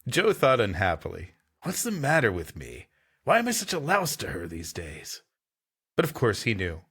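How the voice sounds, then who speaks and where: This is a man with a very animated range of pitch, moving between story narration and comic banter. His delivery is playful and theatrical, like a host who enjoys performing what he reads. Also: normal speed, breathy edge. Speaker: podcast host reading a story aloud.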